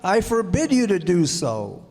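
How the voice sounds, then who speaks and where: A male actor plays a self-important monarch in a radio play, issuing commands with grand, drawn-out slowness. His pitch sits fairly high and swings widely, and the voice rings in a long hall reverb. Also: huge pitch swings, a little mellow, exaggerated voice. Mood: imperious. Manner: pompous, imperious. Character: pompous, self-important king of a tiny asteroid. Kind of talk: theatrical radio play acting.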